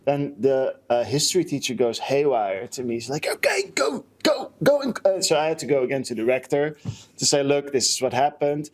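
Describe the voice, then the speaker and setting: A man's voice: medium pitch, big pitch swings, fairly quick. Rambling male podcaster. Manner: rambling, self-correcting. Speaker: podcast co-host. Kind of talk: casual chat, personal storytelling.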